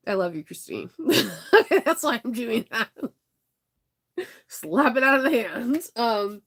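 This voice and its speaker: A woman's voice: on the high side, very animated, slow, fairly bright tone. A woman vlogger chatting casually with viewers, laughing often and sounding stuffed up from congestion. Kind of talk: casual chat.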